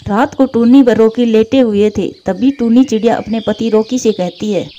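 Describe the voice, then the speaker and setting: A woman's voice: on the high side, moderate pace, warm tone, highs cut off. A woman reading scripted narration for a Hindi cartoon story.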